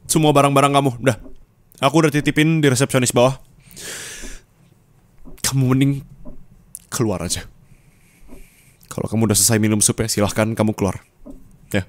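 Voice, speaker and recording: A man in an ASMR roleplay, scolding his partner bitterly. He moves between low muttering and raised angry reproach, recorded on a close mic.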